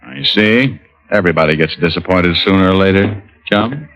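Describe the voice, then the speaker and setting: An actor playing an unflappable, wisecracking hard-boiled private detective in an old radio noir, with dry sardonic delivery. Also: male voice, low voice, lively intonation, normal speed, gravelly, a bit bright, heavily acted. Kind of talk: old-time radio noir narration and acting. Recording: muffled old radio recording with music.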